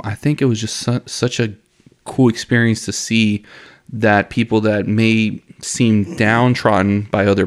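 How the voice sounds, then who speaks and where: Laid-back man from Miami, low voice. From Miami, family from Honduras. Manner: laid-back, says dude and you know a lot, laughs easily. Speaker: podcast co-host. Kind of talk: casual chat.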